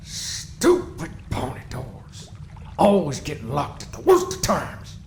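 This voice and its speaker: A man voicing a grumpy diamond dog creature in an audio drama, gravelly and muttering in irritation. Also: on the high side, wildly swooping pitch, slow, a little mellow, faint music behind, exaggerated voice. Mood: grumbling.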